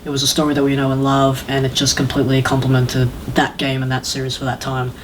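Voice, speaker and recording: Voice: mid-range voice, monotone, fast. Casual podcast host over noticeable background noise. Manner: rambling, acts out imagined lines. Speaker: podcast host reviewing video game films. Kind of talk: casual opinionated panel chat.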